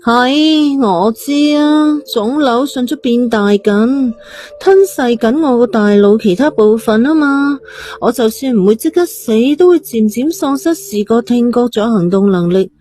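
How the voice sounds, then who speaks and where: A woman's voice: a little high, lively intonation, unhurried, dark tone. A woman playing a defiant, flippant young woman with a Hong Kong Cantonese accent, cheeky and nonchalant in an audio drama. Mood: sardonic resignation.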